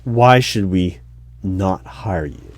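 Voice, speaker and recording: A man playing an unnerved, exasperated hiring manager in a one-sided audio roleplay, with halting speech that repeats words. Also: low voice, lively intonation, slight background noise. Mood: wary, testing.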